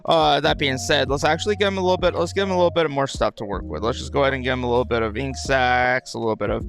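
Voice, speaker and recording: Guy rambling thru a casual game video, dry morning throat, repeats himself, medium pitch, bit of music behind. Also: racing, creaky, a bit bright. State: dry throat, just woke up. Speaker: gaming video host.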